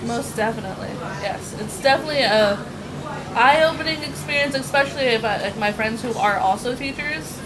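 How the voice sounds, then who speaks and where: A woman's voice: fairly high pitch, fairly quick. A young American woman giving casual interview answers in very informal speech, peppered with "like". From United States. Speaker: teacher being interviewed. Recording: loud traffic and street noise.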